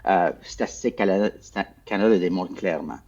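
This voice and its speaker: Husky low-voiced male guest on a muffled line. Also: darkish tone. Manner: measured, explanatory, hesitant repetitions. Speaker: former official, guest. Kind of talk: interview answers.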